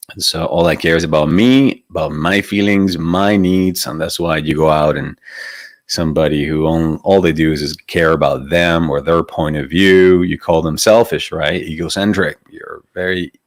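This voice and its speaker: Male meditation host, very low pitch, dry sound, reflective teaching tone. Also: dry recording. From Venezuela. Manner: reflective, asks rhetorical questions, often adds "right?". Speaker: meditation teacher hosting a live stream. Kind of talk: conversational spiritual teaching.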